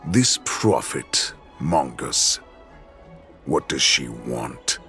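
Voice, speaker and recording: A man voicing a menacing, commanding alpha wolf warlord in a video game, gravelly, imperious and mocking. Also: extremely low pitch, sing-song, drawn-out words, sharp tone, faint music behind, full character voice. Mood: cold suspicion. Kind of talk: dramatic video game voice acting.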